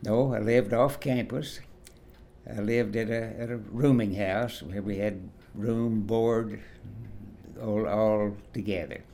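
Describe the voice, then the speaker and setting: A man, reminiscing in an oral history interview in a halting way, often repeating and restarting words. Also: on the low side, fairly even intonation, gravelly, dark tone, a little hiss or hum. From Kentucky, USA. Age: 80s. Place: at his home.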